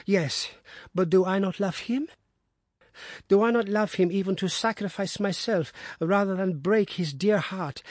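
A man's voice: dry recording, clean studio sound. A man voicing a distraught, anguished young wife who pleads in an emotional, raised voice. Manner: pleading, emotional, high-pitched.

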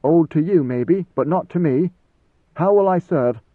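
Man voicing a proud, blunt warrior, pitched up. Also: a little slow. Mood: wary, puzzled. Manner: blunt, challenging. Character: proud, blunt male warrior, wary and questioning. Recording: slightly roomy, phone-like narrow sound.

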